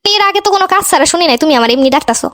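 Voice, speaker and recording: Cartoon wife woman actor: hurt, defiant, sarcastic, Bangladeshi Bengali accent, high-pitched with breakneck delivery. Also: animated, rapid-fire, fairly bright tone. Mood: cool, sarcastic. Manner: scolding, sarcastic. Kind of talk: amateur cartoon dialogue.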